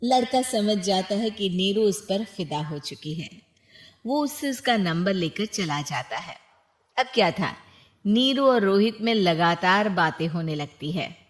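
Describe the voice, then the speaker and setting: Slow-paced woman storyteller. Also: mid-range voice, lively intonation. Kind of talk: scripted narration of a moral family story.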